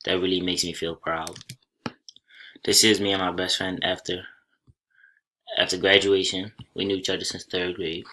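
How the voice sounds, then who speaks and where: A young man from Senegal whose first language is Soninke, giving a college class presentation over slides in a plain, unhurried way with small restarts. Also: low-pitched, level tone, bright, forceful tone, slight background noise. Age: young adult. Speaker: college student.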